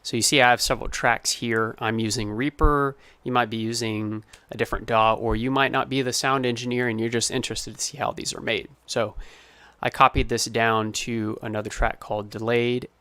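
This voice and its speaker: A man's voice: mid-range voice, flat delivery, a little fast, bright, forceful tone, clear, resonant tone. A man giving an informal tutorial as a sound designer, explaining his process step by step. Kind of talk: informal screen-recorded tutorial narration.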